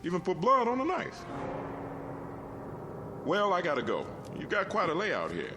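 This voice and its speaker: A folksy, easily impressed working man chats in a radio drama. His slightly high voice leaps about with jovial exclamations, over clearly audible music. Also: wildly swooping pitch, normal speed. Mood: jovial, curious. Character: chatty, easily impressed working-class meter reader. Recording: music under the voice.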